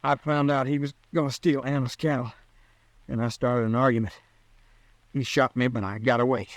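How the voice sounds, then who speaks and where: An actor playing a wounded, defiant and bitter cowboy in an old-time radio western, sullen and folksy. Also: male voice, medium pitch, fairly even intonation, normal speed, dry recording, natural voice. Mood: pained, defensive.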